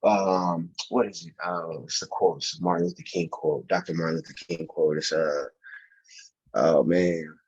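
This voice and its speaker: Husky male podcast guest, an actor, reflective and earnest, slightly dull recording. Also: sits low, steady tone, a little slow, darkish tone. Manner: earnest, emphatic, repeats phrases as he thinks. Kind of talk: casual reflective interview talk.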